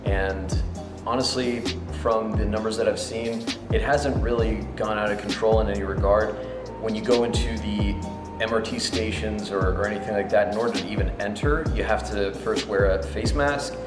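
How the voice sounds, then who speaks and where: A young man talks loosely and jokingly, slipping into sarcasm. His voice sits a little low, the room sounds echoey, and music runs clearly underneath. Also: steady tone, normal speed. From United States. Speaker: interviewee. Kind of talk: casual interview answers. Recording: roomy sound over background music.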